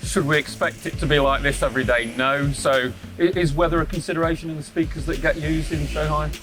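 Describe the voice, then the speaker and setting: A man's voice: a little high, fairly even intonation, fairly quick. A man presenting an on-location promotional interview, asking questions in a chatty, conversational way.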